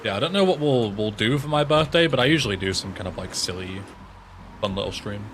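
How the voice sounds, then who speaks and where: A man's voice: on the low side, animated, fast, a bit bright, a little hiss or hum. A young man in his twenties with an English accent, chatting casually on a livestream and answering viewers' messages aloud. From England. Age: 20s. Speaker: streamer and speedrunner.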